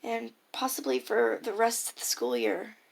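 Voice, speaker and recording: A woman's voice: a little high, big pitch swings, moderate pace, creaky. A teenage girl recording a casual, reflective audio diary, musing hesitantly and trailing off at times. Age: teenager, high school freshman. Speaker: personal audio diarist.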